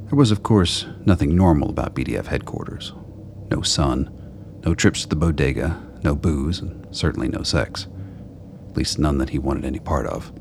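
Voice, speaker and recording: A man with a very deep, gravelly voice reading scripted narration for a radio play. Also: darkish tone, a little hiss or hum.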